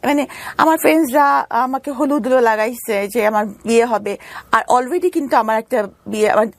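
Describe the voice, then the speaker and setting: A Bangladeshi woman telling her personal story as an interview guest, halting and repeating phrases for emphasis. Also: fairly high pitch, dry recording.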